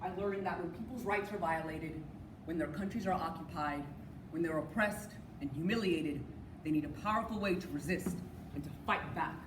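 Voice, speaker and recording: A woman's voice: low-pitched, normal speed. A woman delivering a rehearsed, dramatic spoken-word recitation with emphatic, declamatory phrasing. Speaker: reader's theater performer. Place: live competition performance in a room. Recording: echoey room, distant mic, noisy.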